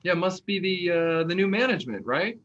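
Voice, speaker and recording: An actor playing a tired, opinionated young man in an online play reading, talking in a halting, wry, naturalistic way. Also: high voice. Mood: upbeat, curious. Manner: halting, animated, wry talk. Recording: dull, lo-fi online recording.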